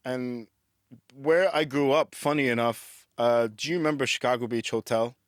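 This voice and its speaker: A man's voice: mid-range voice, fairly even intonation, moderate pace, no echo, clean studio sound. A man in his forties from Dubai, a musician guest telling his story in a loose, rambling way. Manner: loose and rambling, laughs at himself. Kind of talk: casual rambling chat.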